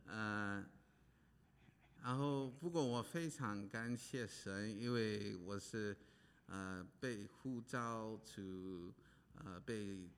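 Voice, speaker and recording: Male pastor from Hawaii, low and unhurried, halting delivery, long reverb of a church hall. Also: clean tone. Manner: halting, many pauses and fillers. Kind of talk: preaching with interpreter.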